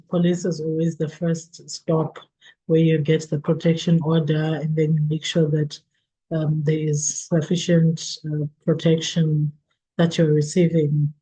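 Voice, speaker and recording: Husky, mellow South African woman moderating. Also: low voice, rough recording. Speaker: book club host. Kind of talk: moderating a group discussion.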